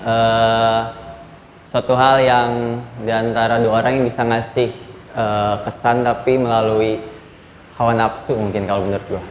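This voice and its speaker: A man's voice: medium pitch, level tone, a little slow, a little hiss or hum. A man in his twenties, a university student, answering in a laid-back, blunt way. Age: 20s. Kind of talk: casual interview answers. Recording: echoey room with long reverb.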